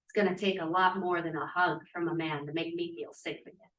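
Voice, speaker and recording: A woman's voice: lowish voice, steady tone, a little fast, fairly bright tone, lightly acted. A woman acting as an outspoken, sardonic young feminist of the 1940s in a script read-through. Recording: distant, echoey room microphone.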